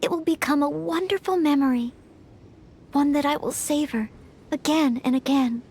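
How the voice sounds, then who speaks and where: A woman voicing an earnest, modest young maid who hopes to be a knight, polite and gentle, in a video game. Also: high voice, moderate pace, slight background noise. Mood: grateful. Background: game music.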